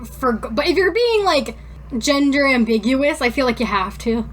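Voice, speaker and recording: A giggly, informal woman co-hosting a podcast, reading fan fiction aloud haltingly and breaking off to comment. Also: high-pitched, expressive. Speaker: podcast co-host.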